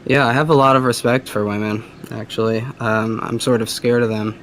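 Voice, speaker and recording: Soft-voiced male caller, hesitant and rambling, mid pitch, light hiss. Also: fairly even intonation, fairly quick. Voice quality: soft, feminine-sounding voice. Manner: hesitant, rambling, lots of fillers. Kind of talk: casual phone call.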